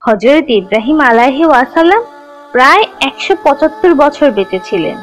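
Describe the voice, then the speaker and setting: A woman playing a kind teacher who tells religious stories to children in a measured, instructive, scripted way. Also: high-pitched, big pitch swings, faint music behind, rough recording. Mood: instructive, gentle. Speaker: storyteller narrator teaching children.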